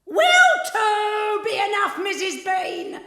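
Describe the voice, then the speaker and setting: A woman's voice: extremely high pitch, sing-song, slow, vocal fry, sharp tone, heavily acted. A woman playing a put-upon older maidservant in a children's audio drama, complaining and muttering to herself. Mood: calling out. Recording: roomy cellar-like echo.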